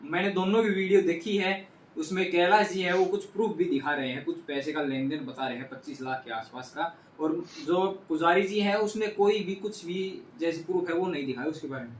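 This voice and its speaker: A man with a somewhat high voice conducts an informal news interview. He sounds set back from the microphone, with noticeable echo around him. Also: brisk. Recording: distant, echoey, clear background noise.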